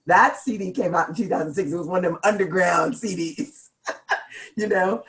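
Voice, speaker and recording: A middle-aged woman from Seattle with a deep, gravelly voice, chatting as a singer in an interview and laughing often. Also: moderate pace, a bit bright, slight background noise. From Seattle, United States. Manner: chatty, laughs often, jokes about herself. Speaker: guest singer. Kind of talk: casual interview chat.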